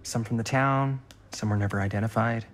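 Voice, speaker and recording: An actor playing a mild, cautious young American man in a video game, easygoing and a little hesitant, always smoothing things over. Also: lowish voice, steady tone, fairly quick, slight background noise, lightly acted. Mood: uneasy. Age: young adult. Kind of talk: video game cutscene acting.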